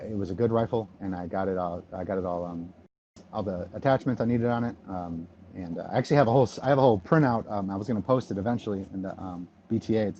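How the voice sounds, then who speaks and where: A man in his thirties from the Detroit area, chatting casually on a podcast and rambling with many ums and restarts. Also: dark tone. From Michigan, near Detroit. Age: 30s. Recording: dull low-fi audio, faint noise.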